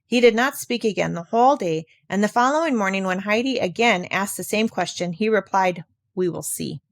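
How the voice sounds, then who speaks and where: A woman's voice: mid-range voice, fairly quick, no room sound. A woman narrating a classic children's story, reading aloud from a script. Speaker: audiobook narrator.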